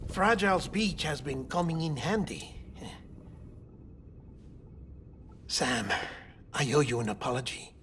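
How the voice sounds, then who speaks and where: A man playing an earnest, melancholy middle-aged scientist in a video game, speaking in a gentle, measured, confessional way. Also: on the high side, sing-song, a little hiss or hum. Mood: gentle, warm. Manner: measured, confessional, deliberate delivery. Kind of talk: cinematic video game acting.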